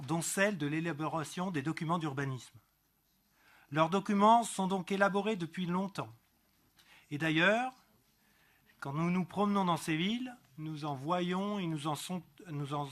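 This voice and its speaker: A man speaking as an elected councillor, giving a prepared political speech in a deliberate, didactic manner with emphatic repetition. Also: sits high, fairly bright tone. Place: council meeting.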